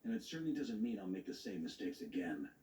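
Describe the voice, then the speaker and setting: A stern grandmaster of knights in a video game. He is measured and wry, with a lowish, very varied voice. The sound is echoey. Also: big pitch swings, mellow, recorded from a distance, slight background noise. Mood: reflective. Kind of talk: dramatic video game voice acting.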